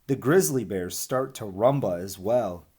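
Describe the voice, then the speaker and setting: A man's voice: fairly low pitch, unhurried, husky. A man teaching young children, reading a picture book expressively and chatting informally with his listeners. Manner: expressive rhyming delivery, chatty asides addressing children. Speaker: elementary school teacher reading aloud.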